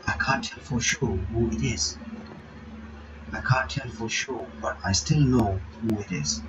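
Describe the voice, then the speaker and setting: Man reading a visual novel aloud, casual and halting, dull distant mic, noise behind. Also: fairly low pitch. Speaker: game reaction streamer.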